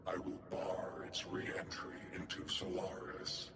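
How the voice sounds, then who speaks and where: A man's voice: deep voice, completely flat delivery, slow pace. A man voicing a solemn, dutiful guardian mech in a video game, with formal robotic phrasing and clipped announcements. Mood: solemn, earnest. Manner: formal robotic phrasing, announces clipped one-word headers before statements. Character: solemn giant guardian mech, dutiful and slowly learning feeling. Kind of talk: video game character acting. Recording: cavernous echo with music underneath. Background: game music.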